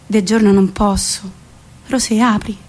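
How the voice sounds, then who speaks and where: A woman's voice: mid-range voice, steady tone, unhurried, a little mellow, pitched below the performer's own voice, close to an everyday voice. Woman playing a furtive, earnest young village man. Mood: furtive, urgent. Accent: central Italian.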